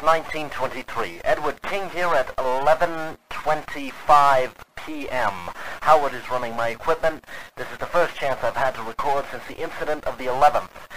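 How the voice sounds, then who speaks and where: Guy acting as earnest 1920s radio man giving a formal old-timey account, middling pitch, bit of hiss. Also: a bit bright, lightly acted. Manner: formal, old-fashioned, measured diction. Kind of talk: old-time radio drama acting, scripted narration. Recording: noisy old radio-style recording.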